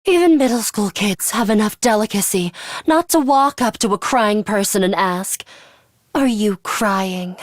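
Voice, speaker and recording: An actress playing a stern, aloof young woman in a video game, speaking in a curt, commanding, deliberate way. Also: mid-range voice, dry recording, studio quality, close to an everyday voice. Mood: irritated. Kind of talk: scripted video game character lines.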